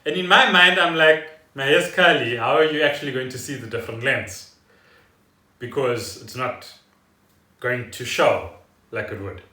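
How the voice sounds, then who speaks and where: A bright-voiced man talks from a little way off the mic in an echoey living room. He chats casually as a podcast co-host, rambling through explanations like someone telling a story. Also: mid-range voice, moderate pace. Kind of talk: casual chat. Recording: roomy echo, distant from the mic.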